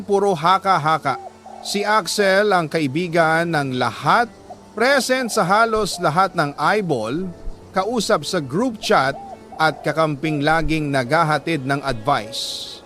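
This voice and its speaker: A man with a Filipino accent reading a scripted first-person story like a radio storyteller, earnest and measured, with dramatic pauses. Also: high voice, a bit bright. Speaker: radio-style storyteller reading a letter sender's love story.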